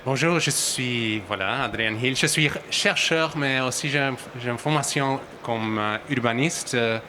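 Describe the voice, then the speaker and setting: An Australian man, English his first language, speaking on a conference panel in a bright, forward voice. He stumbles and restarts as he reaches for words, and a faint hum of the hall sits behind him. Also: medium pitch. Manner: halting, searching for words, many restarts and fillers. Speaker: researcher and panelist.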